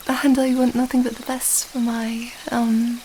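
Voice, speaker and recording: A woman's voice: fairly high pitch, level tone, unhurried, warm tone, slight background noise. A woman playing an affectionate young princess in love, speaking tenderly and hesitantly in a romantic fantasy ASMR roleplay. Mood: loving, dreamy.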